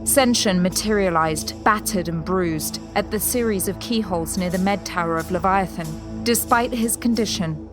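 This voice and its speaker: A woman narrating a scripted audio drama in a measured, solemn storytelling manner. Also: fairly low pitch. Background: music.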